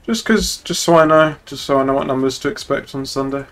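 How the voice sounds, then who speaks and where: A man's voice: medium pitch, fairly quick, fairly bright tone, soft background music. A young man chatting casually and bluntly while streaming a game, with plenty of swearing. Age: young adult. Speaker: streamer playing a police officer in online roleplay.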